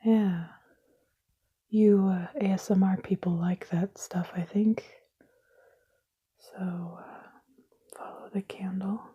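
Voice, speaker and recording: Breathy, sarcastic whispering woman. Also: medium pitch, steady tone, takes it slowly, long pauses, lo-fi sound. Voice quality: soft unvoiced whisper. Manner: sarcastic, self-mocking, irreverent jokes. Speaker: ASMR video maker. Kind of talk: whispered ASMR video. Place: indoors at a table by candlelight. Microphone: camera mic close up.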